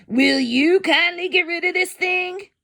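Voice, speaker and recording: A woman's voice: very high-pitched, a little slow, gravelly, sharp tone, pitched above the performer's own voice, full character voice. A woman voicing a bossy, jeering male jungle monkey who declares things scornfully in a children's storybook.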